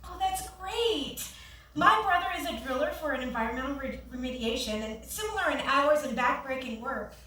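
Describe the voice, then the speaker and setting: An actress as high-voiced, friendly nurse, echoey theatre. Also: female voice, expressive, normal speed, pushed and bright. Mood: curious. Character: friendly, bookish, forward young woman nurse on a date. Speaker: actor in a staged reading. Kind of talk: staged reading of a one-act play. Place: theatre stage, recorded from the audience. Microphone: far camera. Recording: echoey theatre, distant camera, faint noise.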